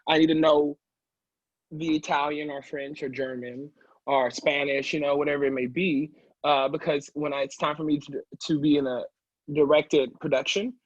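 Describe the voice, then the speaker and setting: Husky, higher-voiced man chatting. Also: level tone, moderate pace, no room sound, highs cut off. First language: English. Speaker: opera singer, interview guest. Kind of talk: casual interview conversation.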